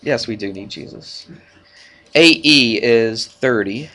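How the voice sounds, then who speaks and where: A man's voice: medium pitch, slow, bright, forceful tone. Congested man teaching math informally. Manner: informal, checking with frequent questions. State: congested, stuffed-up nose. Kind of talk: classroom worked-problem lesson. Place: classroom.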